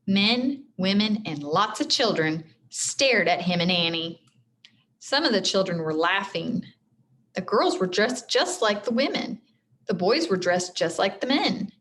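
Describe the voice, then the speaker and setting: A woman's voice: mid-range voice, slight background noise. A woman teacher reading a storybook aloud expressively, with simple explanatory asides for children.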